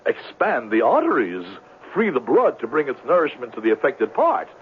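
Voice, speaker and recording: A man's voice: mid-range voice, wildly swooping pitch, moderate pace. An actor playing a grandiloquent, down-on-his-luck con man who poses as a doctor in a 1970s radio drama, speaking in a florid, theatrical way. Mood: grandly persuasive. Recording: tinny, noisy 1970s radio recording.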